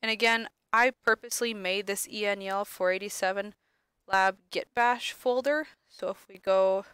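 Sniffly young woman teaching software. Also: mid-range voice, fairly even intonation, normal speed, clear, resonant tone, no room sound. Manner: casual, many ums and self-corrections. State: sniffly from allergies. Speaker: lab instructor. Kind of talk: screen-recorded software tutorial.

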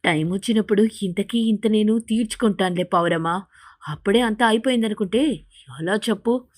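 A sly cartoon parrot played by a woman who is always plotting. The delivery is wheedling and jealous, made for an animated bird fable. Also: medium pitch, fairly quick, lightly acted. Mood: scheming.

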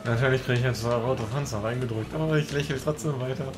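A man's voice: mid-range voice, fairly even intonation, quick pace. A man co-commentating a racing game, laughing often and teasing his partner, with game music underneath. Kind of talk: casual gaming commentary.

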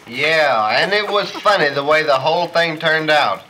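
An actor playing an idle, smug freeloading brother-in-law in a 1930s comedy film. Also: male voice, a little high, moderate pace, pushed and bright, low-quality recording.

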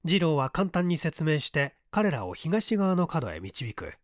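An audiobook reader giving a measured, dramatic narration of literary fiction. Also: mid-range voice, big pitch swings, no echo, clean studio sound.

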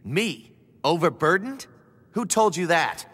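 Brash high-pitched young American assassin. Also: male voice, sing-song, slow pace, fairly bright tone, slightly rough, exaggerated voice. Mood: defiant, annoyed. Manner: brash, slangy, exasperated. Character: cocky, foul-mouthed, irritable young male assassin. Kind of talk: video game cutscene acting. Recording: game audio with hall reverb, rumbly noise.

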